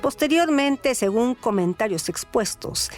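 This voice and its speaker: A woman, narrating scripted stories and commentary in a theatrical, deliberate manner. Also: on the high side, expressive, a little mellow, faint music behind. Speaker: narrator and host of a radio storytelling series.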